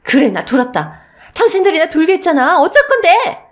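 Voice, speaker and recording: A woman's voice: extremely high pitch, big pitch swings, brisk, pitched above the performer's own voice. Woman acting a fierce, fed-up wife and mother. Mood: furious. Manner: scolding, defiant.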